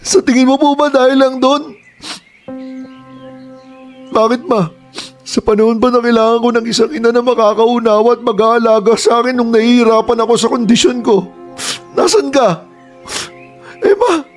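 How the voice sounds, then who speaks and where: A man's voice: extremely high pitch, normal speed, long pauses. An actor playing a hurt young adult son who confronts his mother in a Tagalog radio drama, emotional and pleading. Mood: tearful. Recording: faint background music.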